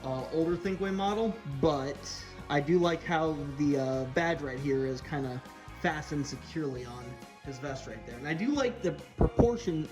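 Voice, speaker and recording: A man's voice: a little high, normal speed. A man giving a casual hands-on toy review as the host, sharing opinions in a hesitant, meandering way. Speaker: toy collector reviewer hosting an unboxing video. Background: background music.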